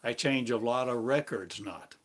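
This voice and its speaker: A man giving a free-form spiritual sermon, chanting in drawn-out, incantatory phrases for a live stream. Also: mid-range voice. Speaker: preacher. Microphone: live stream device.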